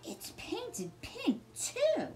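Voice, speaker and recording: A woman's voice: very high-pitched, huge pitch swings, drawn-out words, darkish tone, soft background music, voice pushed up, full character voice. A woman voicing a sulky, indignant young boy in a storybook, exclaiming in an emphatic, childlike way. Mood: sulky muttering.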